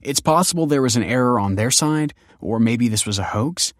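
A man's voice: medium pitch, lively intonation, brisk, dry recording, clean studio sound, pitched above the performer's own voice, lightly acted. A man voicing a woman clinic director who stays polite, clipped and controlled under tension. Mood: guarded.

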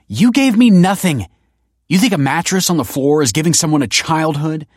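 A man voicing a feisty young woman in a narrated story, brisk, sardonic and emphatic. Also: medium pitch, animated, dry recording, studio quality, voice pushed up, close to an everyday voice. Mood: angry, cutting. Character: sharp-tongued, teasing, feisty young woman.

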